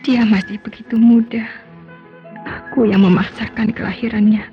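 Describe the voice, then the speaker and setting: A woman's voice: on the high side, little pitch movement, normal speed, lightly acted. An actress playing a guilt-ridden, grieving woman in a melodramatic radio drama. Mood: anguished remorse. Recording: dull old radio recording with music.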